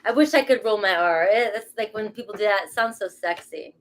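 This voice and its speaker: A woman's voice: medium pitch, brisk. A middle-aged woman with a Boston accent giving a casual, rambling tarot reading, swearing casually as she talks. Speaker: tarot reader.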